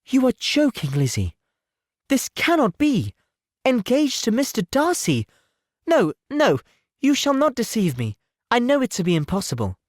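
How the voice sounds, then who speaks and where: A man voicing a sweet, earnest young gentlewoman in an audiobook, exclamatory, affectionate and anxious. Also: very high voice, wildly swooping pitch, measured pace, no room sound, studio quality, pitched above the performer's own voice, heavily acted. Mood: incredulous. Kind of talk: audiobook character voice.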